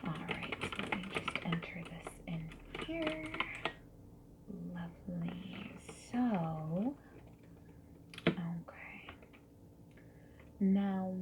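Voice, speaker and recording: A woman speaking softly and breathily, often dropping to a whisper, in a gentle, friendly ASMR survey-interviewer roleplay. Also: lowish voice. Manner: gentle, friendly, unhurried customer-service politeness. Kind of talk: soft-spoken ASMR roleplay. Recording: lo-fi, noisy with keyboard typing and clicks. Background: mechanical keyboard typing and clicks.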